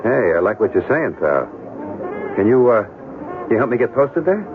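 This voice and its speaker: An actor playing an evasive, selfish young American military pilot in an old-time radio drama, talking in slangy fashion. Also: male voice, mid-range voice, very animated, normal speed, phone-quality sound, natural voice. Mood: casual, restless. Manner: slangy, hesitant when making excuses. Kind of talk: old-time radio drama acting with first-person narration. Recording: band-limited old radio broadcast, music behind.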